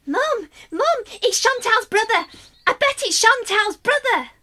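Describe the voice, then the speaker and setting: A bouncy young daughter in a radio drama. The voice is extremely high and bright, bursting out in breathless, gleeful exclamations. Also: female voice, expressive, unhurried, full character voice. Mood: excited. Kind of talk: radio play monologue.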